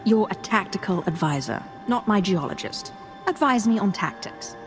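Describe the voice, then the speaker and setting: A woman's voice: medium pitch, animated, normal speed, lightly acted. A woman playing a cold, imperious Sith warlord, curt, commanding and menacing, in a video game. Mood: cold menace. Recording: music behind the voice.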